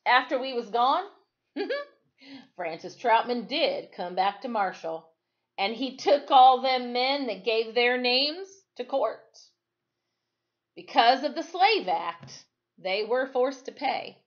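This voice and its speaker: A woman's voice: a little high, lively intonation, slow, lo-fi sound, pitched above the performer's own voice. A woman voicing a plainspoken, formerly enslaved storybook narrator who recalls the past in a folksy, emphatic, reflective way. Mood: proud, hopeful.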